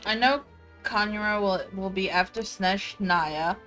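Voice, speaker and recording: A young woman giving casual, excitable, sweary gameplay commentary to a friend. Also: mid-range voice, takes it slowly. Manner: crude joking, sweary, excitable reactions. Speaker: gamer commentator. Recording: home gameplay recording with music underneath.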